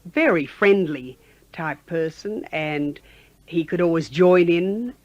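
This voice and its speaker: An Australian woman in her sixties reminiscing in a chatty, halting conversational way, as a former radio announcer being interviewed. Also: lowish voice, no room sound, highs cut off. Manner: chatty, halting, with frequent "you know".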